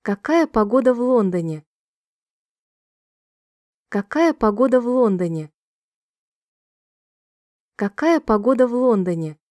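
A woman reading short sentences slowly and clearly for a language-listening drill, repeating each one in a measured, even way. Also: a little high, no room sound. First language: Russian. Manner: clear, measured, evenly paced repetition. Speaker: Russian sentence reader.

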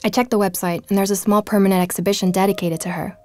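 A woman playing a young journalist who narrates her own podcast about a vanished art student, earnest and intrigued, recording in a bedroom with soft music underneath. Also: medium pitch, level tone, quick pace, natural voice. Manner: earnest, intrigued, speaking to listeners. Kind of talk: scripted audio drama narration. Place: home bedroom.

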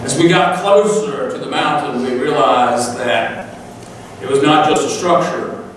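A man's voice: medium pitch, a little slow, distant mic, slight background noise. Man preaching in an echoey sanctuary. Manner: folksy storytelling with dry humor. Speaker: pastor. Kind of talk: sermon. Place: large church sanctuary. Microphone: far camera, room pickup.

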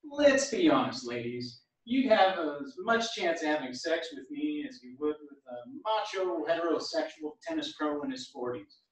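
Echoey, high-pitched witty tennis instructor played by a man. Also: animated, moderate pace, few pauses, natural voice. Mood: dry, teasing. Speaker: actor in a staged reading. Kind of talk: staged play reading. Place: small theatre stage, indoors. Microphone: far camera. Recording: very echoey theatre, distant microphone.

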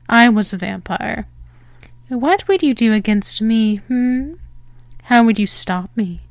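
Slow, teasing vampire woman, acted by a voice actress. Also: a little high, no room sound. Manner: mocking, condescending, drawn-out delivery. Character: teasing, predatory female vampire, smug and possessive. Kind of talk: slow ASMR roleplay monologue.

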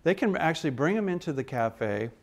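A man's voice: a little high, very animated, fairly quick. A man in his sixties, interviewed indoors, earnestly explaining his work with small restarts. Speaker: head of corporate dining. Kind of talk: on-camera interview. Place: office indoors.

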